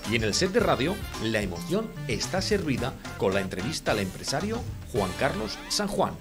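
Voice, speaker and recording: A man voicing an upbeat TV promo with energetic delivery. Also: mid-range voice, moderate pace. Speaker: promo announcer. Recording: broadcast promo with music bed. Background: music.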